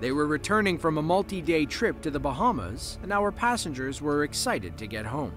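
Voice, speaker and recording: A man narrating a scripted documentary in a lively storytelling way with dry asides. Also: on the high side, fairly quick. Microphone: voice-over, studio mic. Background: soft background music.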